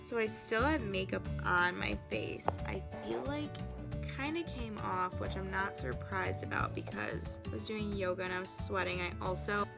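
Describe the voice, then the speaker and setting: A woman's voice: mid-range voice, sing-song, moderate pace, creaky, over loud music. Chatty woman rambling through a makeup tutorial. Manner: chatty and rambling, laughs at herself. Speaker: beauty vlogger.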